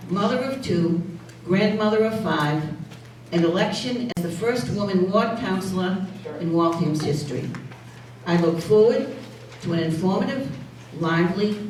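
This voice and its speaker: An older woman giving a formal, measured prepared candidate speech at a public forum. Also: fairly low pitch, darkish tone. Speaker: city councilor seeking re-election. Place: large indoor public forum room. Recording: echoey hall, distant mic, faint noise.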